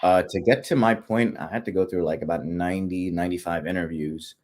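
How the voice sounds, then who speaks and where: A man being interviewed, relaxed and conversational, whose first language is not English. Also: low voice, fairly quick. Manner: relaxed, conversational, many fillers and tag questions. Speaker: guest. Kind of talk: casual interview chat.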